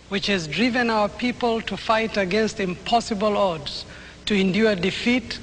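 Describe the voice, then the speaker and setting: A man narrating a documentary in an earnest, measured scripted delivery. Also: sits high, a bit bright, slight background noise.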